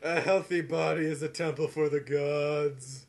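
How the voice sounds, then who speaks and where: A man voicing a fussy, preachy health visitor with drawn-out, mock-earnest delivery in a comic radio play. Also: fairly high pitch, fairly even intonation, vocal fry. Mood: preachy scolding.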